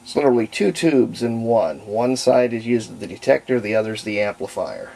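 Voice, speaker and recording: A middle-aged American man giving a casual, unscripted show-and-tell as a hobbyist, in a halting, folksy way, often trailing off mid-phrase. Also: fairly low pitch, animated, gravelly, warm tone. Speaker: hobbyist presenter demonstrating a homebuilt radio. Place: indoors at a cluttered hobby desk. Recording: faint background noise.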